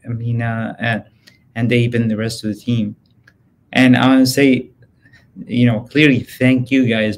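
A man on an investing panel over a video call, speaking haltingly with many restarts as he thanks a team. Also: medium pitch. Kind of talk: panel discussion.

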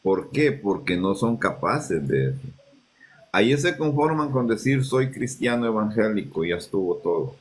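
A man's voice: lowish voice, very animated, moderate pace. A man speaking pointedly and sarcastically in a religious debate.

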